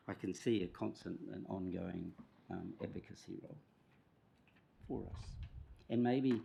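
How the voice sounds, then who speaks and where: A man's voice: low voice, creaky, warm tone, slight background noise, poor audio. A man speaking as a committee member, thoughtful and searching for words. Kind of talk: council meeting discussion.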